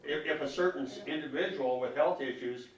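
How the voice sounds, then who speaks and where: A man speaking as a concerned citizen at a public meeting, arguing emphatically and haltingly, often repeating words as he questions officials. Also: fairly high pitch. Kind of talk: public comment, argumentative questioning. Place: public meeting room, indoors. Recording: echoey room, distant microphone.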